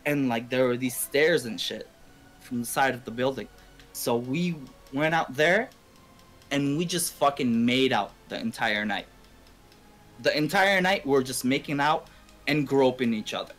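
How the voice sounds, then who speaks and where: A man's voice: medium pitch, unhurried, fairly bright tone. A young man from Canada telling a rambling, slangy, profanity-heavy story casually on a game stream. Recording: game music under the voice. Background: video game music.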